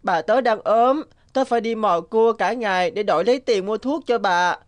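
A woman's voice: mid-range voice, moderate pace, lightly acted. A woman voicing a kind, humble young orphan boy in a cartoon, polite and earnest. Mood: worried.

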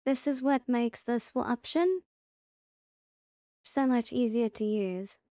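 A woman with a fairly high but very soft-edged, mellow voice talks through a software tutorial. She is casual and plain-spoken, taking the listener through it step by step. The sound is dry and close, with little trace of a room. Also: slow, no room sound. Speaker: tutorial presenter.

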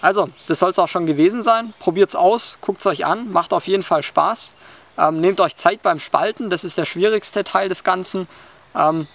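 A young man calmly explaining a bushcraft technique while working with his hands, sitting outdoors in a forest, with frequent hesitation fillers. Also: high-pitched, moderate pace. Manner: calm, explanatory, many "ähm" fillers. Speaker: bushcraft instructor.

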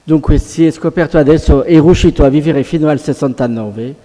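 A middle-aged man presenting and interpreting informally at a panel, in short halting phrases. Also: on the high side, mellow. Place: large hall, seated at panel table. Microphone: table microphone. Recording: long hall reverb.

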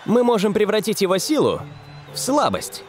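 A man's voice: sits high, huge pitch swings, normal speed, loud music behind the voice. A man playing a cocky, clever young football player in a dubbed cartoon. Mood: scheming.

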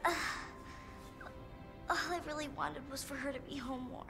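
An actress playing a sick, frightened young girl with a small, breathy, halting voice in a video game cutscene. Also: high-pitched, steady tone, music in the background. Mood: sad, drowsy.

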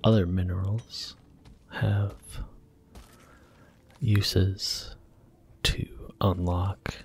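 ASMR gaming host, man, low unhurried whisper, slightly gravelly. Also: flat delivery, a little hiss or hum. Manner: slow, gentle whispering commentary. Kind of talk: soft-spoken ASMR gameplay commentary.

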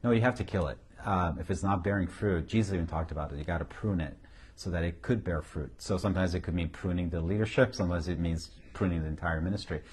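A man's voice: low-pitched, fast, darkish tone, a little hiss or hum. A middle-aged man with a Syrian accent, a pastor talking casually and emphatically about ministry, recorded on a lapel mic in an office. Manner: emphatic, repeats key phrases, chuckles. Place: indoor office, seated on couch.

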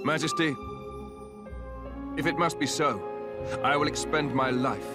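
An actor playing an earnest young noble knight in a video game, formal and courteous. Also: male voice, a little high, steady tone, measured pace, lightly acted. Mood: resolute. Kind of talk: video game cutscene acting. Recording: loud orchestral music behind.

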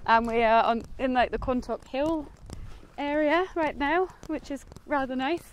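A young British woman with a fairly high voice talks in a casual, conversational way. She is on a walk in the open air, recorded on a handheld camera with faint noise around her. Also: unhurried. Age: 20s. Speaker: film review co-host. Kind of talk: casual chat while walking. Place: outdoors, walking through countryside and woods. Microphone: handheld camera at arm's length. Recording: outdoor camera audio with background noise.

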